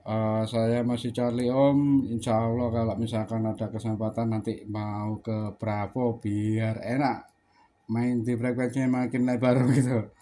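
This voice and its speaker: A man's voice: mid-range voice, little pitch movement, measured pace, warm tone, a little hiss or hum. Chatty man on amateur radio. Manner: chatty, polite. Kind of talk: casual ham radio contact.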